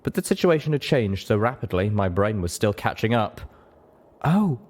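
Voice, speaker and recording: A man narrates a story as an audiobook reader. His voice sits on the low side, and his dramatic delivery has a very lively, varied intonation. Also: fairly quick.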